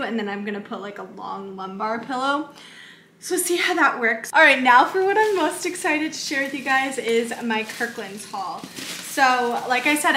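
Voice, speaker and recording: A young woman shows off her shopping haul to the camera in a chatty, bubbly way, with lots of casual fillers. Her voice is fairly high and very bright. It bounces around a roomy home kitchen. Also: slight background noise. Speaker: lifestyle vlogger. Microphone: camera in front, medium close framing. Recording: echoey kitchen.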